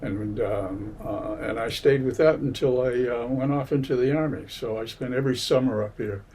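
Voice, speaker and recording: A man in his seventies from Massachusetts, giving an oral history interview in a library, unhurried and full of hesitations. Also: medium pitch, mellow, slight background noise. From Massachusetts, USA. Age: 70s. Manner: unhurried, many hesitations and fillers. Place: public library.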